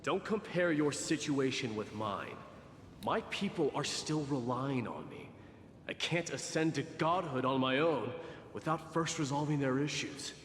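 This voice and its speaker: Haughty warrior prince, echoing. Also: male voice, mid-range voice, expressive, measured pace, a bit bright, slight background noise. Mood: stern, sardonic. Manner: haughty, gruff, sardonic. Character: proud, scornful warrior crown prince. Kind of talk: video game cutscene acting. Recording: echoey long reverb, game music behind.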